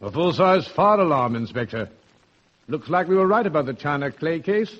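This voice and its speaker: Man playing a highish-voiced shrewd police sergeant. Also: expressive, normal speed, close to an everyday voice. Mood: shrewd, measured. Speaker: actor in a radio detective drama. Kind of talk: radio drama acting. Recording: dull old radio recording.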